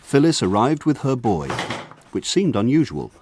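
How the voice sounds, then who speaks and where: An actor narrating a radio drama as a grown man looking back on his village boyhood in a measured, lyrical way. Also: mid-range voice, very animated, noisy background, close to an everyday voice. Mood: reflective.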